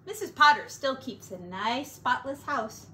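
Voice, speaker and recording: A woman teacher doing an expressive read-aloud and sing-song play for young children, drawing out her words. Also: sits high. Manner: expressive, drawn-out words, hushed asides.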